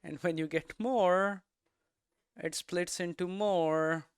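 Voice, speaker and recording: A man giving an informal explanatory lesson as a presenter, posing questions and answering them himself in halting, emphatic phrases. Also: sits high, fairly even intonation, clean tone, no room sound, studio quality. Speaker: presenter of a game development explainer series.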